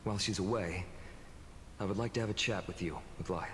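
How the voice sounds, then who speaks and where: A man's voice: sits low, fairly quick, slight background noise, close to an everyday voice. A man playing an amiable, composed hero in a video game, easygoing and reassuring, with reverb on the voice. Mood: calm, reassuring. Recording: long reverb on voice. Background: background music.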